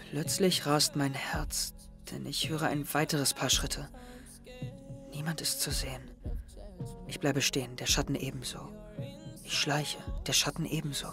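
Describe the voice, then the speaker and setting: A young man playing an anxious, withdrawn, self-doubting teenage schoolboy in an audio drama. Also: a little high. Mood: hushed, melancholy. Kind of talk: audio drama dialogue and inner monologue.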